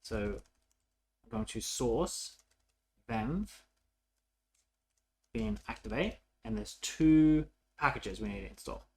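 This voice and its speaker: A man's voice: mid-range voice, lively intonation, slow, long pauses, darkish tone. A man narrating a casual coding tutorial as an instructor, informal and thinking aloud as he works.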